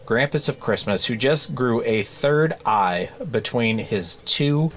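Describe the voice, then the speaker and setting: A man co-hosting a casual book club podcast, rambling through jokes in a deadpan way. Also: mid-range voice, slow pace, a little hiss or hum. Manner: joking, rambling deadpan bits. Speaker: podcast co-host.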